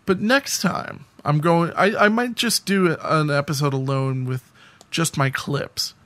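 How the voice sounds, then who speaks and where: This is an American man with a slightly high voice for a male speaker. He talks casually, as a co-host chatting on a podcast. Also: a little slow, a bit bright.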